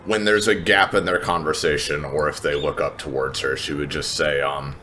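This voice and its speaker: Deep-voiced man narrating his game character. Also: fairly even intonation, normal speed, sharp tone, slight background noise. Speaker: tabletop game player. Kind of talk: improvised third-person roleplay narration.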